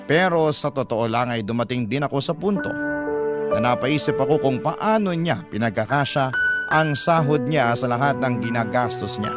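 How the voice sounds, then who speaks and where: A man narrates a first-person story in classic radio fashion with a Filipino accent. His pitch is mid-range, and music plays prominently behind his scripted narration. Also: expressive, moderate pace. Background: prominent background music.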